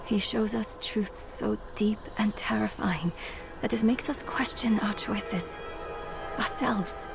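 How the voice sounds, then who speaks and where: Weary, resolute young female scholar, dark fantasy game, measured and slow, music behind. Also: medium pitch, creaky. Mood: gentle, somber. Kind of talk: dramatic video game acting. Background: cinematic background music.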